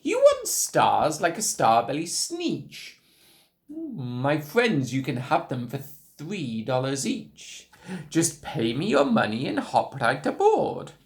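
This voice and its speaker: A man's voice: fairly high pitch, wildly swooping pitch, takes it slowly, full character voice. Man putting on a swooping, sly storybook salesman. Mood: coaxing. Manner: salesman patter, persuasive, showy. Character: sly fast-talking male salesman creature, falsely friendly.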